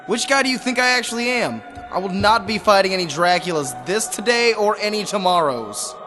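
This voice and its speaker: A man's voice: high-pitched, animated, brisk. A man playing a grumpy, reluctant monster-hunting hero in a comic audio drama, sarcastic and exasperated. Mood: irritated. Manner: exasperated, stilted heroic phrasing with slangy gripes. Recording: music behind the voice.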